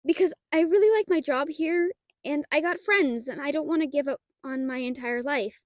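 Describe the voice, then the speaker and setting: High-pitched, shy, flustered dragon-girl librarian voiced by an actress. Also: moderate pace, darkish tone, dry recording, close to an everyday voice. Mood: nervous. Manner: hesitant, flustered, stammering. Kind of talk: roleplay audio drama, one-sided dialogue.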